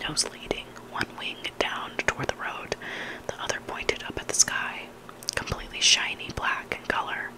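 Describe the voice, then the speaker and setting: An ASMR creator whispering scary stories in a soft, unhurried narration, phrased for dramatic storytelling. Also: low-quality recording. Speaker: ASMR creator reading listener horror stories.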